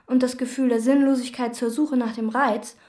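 A woman's voice: a little high, steady tone, normal speed, darkish tone, close to an everyday voice. A woman playing a meticulous, detached chambermaid. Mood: flat. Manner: precise, detached, listing. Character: meticulous, withdrawn woman chambermaid obsessed with cleaning. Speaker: hotel chambermaid. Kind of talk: radio drama acting with inner monologue.

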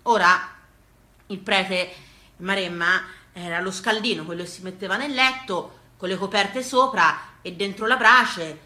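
A woman's voice: mid-range voice, unhurried, bright, forceful tone. A woman with a Tuscan accent performing a theatrical monologue as a storyteller, folksy, emphatic and rhythmic. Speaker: stage actress and storyteller. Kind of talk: theatrical monologue of rustic family memories. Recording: echoey, roomy sound.

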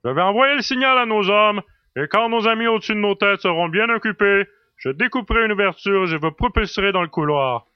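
A man's voice: sits high, lively intonation, brisk, clean tone, no room sound, highs cut off. A man playing a giant space colonel, decisive and commanding, in a science fiction audio drama. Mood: confident.

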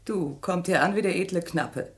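An actress playing a weary, defensive woman in a German radio crime drama, sharp and dismissive. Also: lowish voice, normal speed, lightly acted. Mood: bitter, defensive. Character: weary, bitter, defensive woman rebuffing a man.

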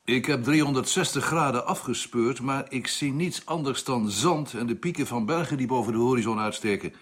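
Serious lookout crewman for radio drama, male actor: husky, mid-pitched, matter-of-fact. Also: moderate pace, lightly acted. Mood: sober.

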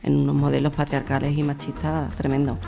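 A woman's voice: low-pitched, completely flat delivery, a little fast, gravelly, mellow, soft background music. A woman with a Spanish accent from Spain answering casually and colloquially as a guest in a radio interview. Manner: informal, colloquial. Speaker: interview guest, feminist festival organiser.

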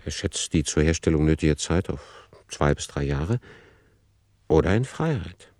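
A man playing a reflective author who narrates a literary radio play in a deliberate, ponderous manner. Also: extremely low pitch, animated, darkish tone, no echo, studio quality. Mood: measured, ironic. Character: reflective male author musing over his novel.

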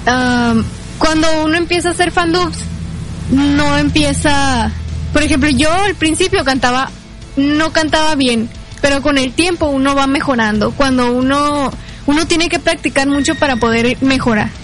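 A woman's voice: high voice, normal speed, soft background music, rough recording. A woman with a Mexican accent chatting casually as an interview guest, tentative and a little unsure in her answers. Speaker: interview guest, amateur fandub singer.

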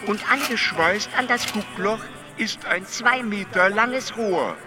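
A male actor playing a calm, detached alien who explains things matter-of-factly in a radio drama, at times stuttering on syllables. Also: high voice, slow. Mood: detached, explanatory. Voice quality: stuttering, glitchy repeated syllables. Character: detached, matter-of-fact stammering alien being. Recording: music under the voice. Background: music.

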